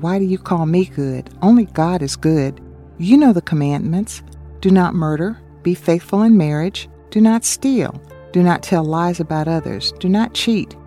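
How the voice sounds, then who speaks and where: An earnest woman presenting a scripted radio Bible study and reading scripture aloud. Her voice is dark and mellow, set a bit low, with faint music behind it.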